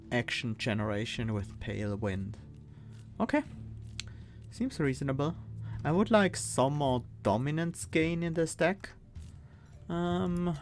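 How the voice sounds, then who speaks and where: A man with a Swiss accent, streaming a card game and thinking aloud, reading cards and weighing choices with pauses and fillers. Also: mid-range voice. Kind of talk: casual gameplay commentary.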